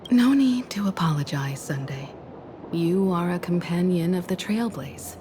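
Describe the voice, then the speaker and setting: An actress playing a poised, caring crew mentor in a video game, composed and reassuring. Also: female voice, fairly low pitch, measured pace, warm tone, a little hiss or hum, lightly acted. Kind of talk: video game character acting.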